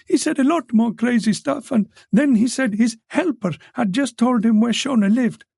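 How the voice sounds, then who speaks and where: A timid, frightened young woman, as a male narrator puts her on, pleading and apologizing in a high, lifted voice. A gravelly texture stays underneath, recorded close and dry. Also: normal speed, a little mellow, dry recording, full character voice.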